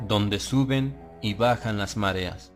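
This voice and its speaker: A man reading a gothic horror audiobook in a solemn, deliberate and dramatic manner. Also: fairly low pitch. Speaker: audiobook narrator. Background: music.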